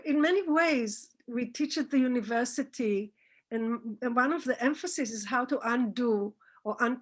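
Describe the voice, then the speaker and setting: High-voiced woman scholar searching for words. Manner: halting, searching for words, rephrasing often. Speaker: scholar and author answering questions. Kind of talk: academic talk answering audience questions.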